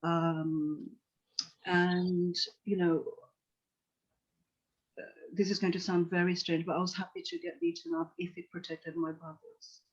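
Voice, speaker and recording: A woman's voice: fairly low pitch, flat delivery, a little slow, pauses often, husky. A middle-aged woman from Tanzania, a psychotherapist, telling her life story earnestly in an interview, with frequent restarts and "you know". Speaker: psychotherapist guest. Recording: dull lo-fi call audio.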